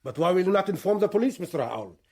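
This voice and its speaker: Courteous, quietly menacing militant leader. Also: male voice, sits high, sing-song, exaggerated voice. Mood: silky, menacing. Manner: measured, formal, quietly menacing. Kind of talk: radio drama acting.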